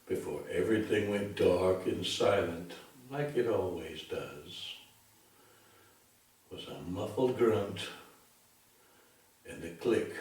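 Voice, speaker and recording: A man telling a darkly comic bedtime story in a deliberate, theatrical, mock-sympathetic way. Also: low voice, darkish tone. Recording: very echoey room, distant microphone.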